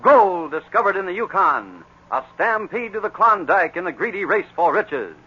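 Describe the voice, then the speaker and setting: A man's voice: high-pitched, huge pitch swings, moderate pace, bright, forceful tone, slight background noise. A man narrating a 1940s radio adventure serial in a dramatic, emphatic style on an old muffled recording.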